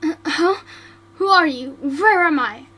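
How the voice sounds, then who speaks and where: A woman's voice: high-pitched, lively intonation, unhurried, pushed and bright, full character voice. A woman voicing a frightened young female bandit who stammers and pleads. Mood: confused.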